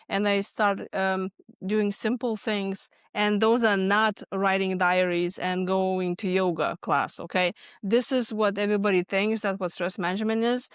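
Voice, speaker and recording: A woman from Lithuania with a Lithuanian accent, a guest speaking earnestly about stress and often pausing with ums and restarts. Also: mid-range voice, smooth, dry recording, clean studio sound. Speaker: podcast guest, stress management expert. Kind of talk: conversational interview answers.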